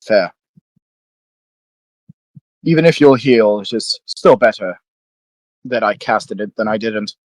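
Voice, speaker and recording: A man's voice: fairly low pitch, slow pace, pauses often, no room sound, poor audio. A man playing a tabletop roleplaying game, moving between in-character roleplay and casual chat. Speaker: voice actor.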